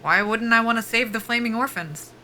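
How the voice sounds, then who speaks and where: An actress playing an anxious, self-deprecating young woman soldier in a sci-fi audio drama, halting and wry in a naturalistic style. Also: a little high, sharp tone, slight background noise. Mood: flustered, wry.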